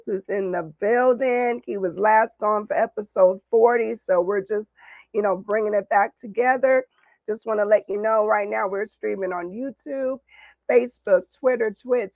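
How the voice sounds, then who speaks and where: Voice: medium pitch, no room sound. A podcast host opening the show with an upbeat announcer delivery, then chatting casually and affirming the guest. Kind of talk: podcast hosting, casual chat. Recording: dull, dry lo-fi sound.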